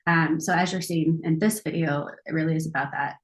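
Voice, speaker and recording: A woman's voice: deep voice, fairly even intonation, a little fast. A woman who supervises counselors, hosting a panel discussion in an upbeat, conversational way.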